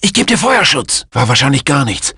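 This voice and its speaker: An actor playing an edgy, suspicious security guard on patrol in a video game, with a raspy, creaky voice. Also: male voice, mid-range voice, big pitch swings, a little fast, pushed and bright, no echo, studio quality, heavily acted. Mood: wary, alert. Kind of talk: German dub of game guard barks.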